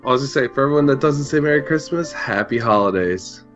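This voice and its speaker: A man co-hosting a podcast, talking casually in a halting way. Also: medium pitch, animated, muffled recording, soft background music. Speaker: podcast host. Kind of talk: casual chat.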